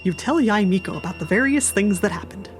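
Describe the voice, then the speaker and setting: A woman streamer talks through a game in an irreverent, informal way. Her voice is mid-pitched and conversational, the phrasing loose and jokey, with game music playing behind her. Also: lively intonation, a little fast. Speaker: video game streamer, host. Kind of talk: casual game commentary, reading along and reacting.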